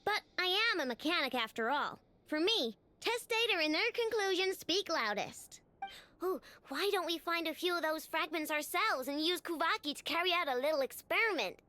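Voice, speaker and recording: Cocky, excitable young girl mechanic. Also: extremely high pitch, moderate pace, full character voice. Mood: eager. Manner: cocky, theatrical. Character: boastful, excitable, quick-witted young female mechanic. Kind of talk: anime game voice acting.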